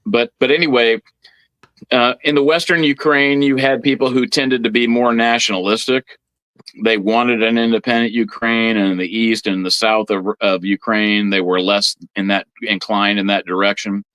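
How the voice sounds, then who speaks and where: A man with a slightly low voice, recorded close and dry. He talks like a podcast guest giving an informal history explanation, halting and doubling back on words as he lays things out. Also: steady tone, a bit bright, clean tone, no room sound, poor audio. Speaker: guest priest on a religious podcast.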